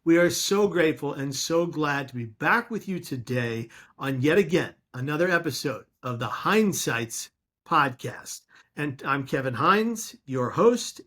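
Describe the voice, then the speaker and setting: An American man hosting an interview podcast, speaking in an effusive, emphatic way with deliberate phrasing. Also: medium pitch, animated, a bit bright.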